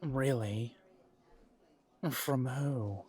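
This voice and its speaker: A man's voice: medium pitch, extremely slow, darkish tone, slightly rough. A man playing a wry, tired and protective male werewolf pack alpha in an audio drama, with dry sarcasm. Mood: dry, sarcastic. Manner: dry, sarcastic, hesitant when weary.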